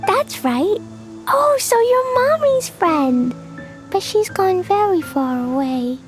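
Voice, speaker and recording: A woman voicing a cheerful, innocent, impulsive little girl adventurer in a video game, with a childlike, naive, excitable manner. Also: very high-pitched, slow pace, soft background music, exaggerated voice. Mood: innocent curiosity. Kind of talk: video game character acting.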